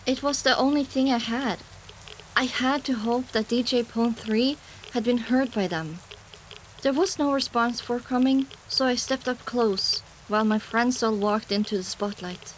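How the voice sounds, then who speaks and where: A woman's voice: a little high, measured pace, a little hiss or hum, poor audio. A woman reading dramatic first-person scripted narration for an audiobook.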